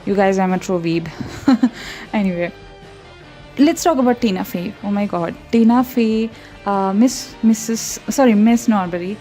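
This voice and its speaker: A woman's voice: medium pitch, measured pace, mellow, faint music behind. A young woman from India whose first language is not English, chatting loosely in a voiceover over her painting, often giggling and correcting herself. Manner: rambling, chatty, frequent giggles and self-corrections. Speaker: art channel host. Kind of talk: casual voiceover over a speedpaint.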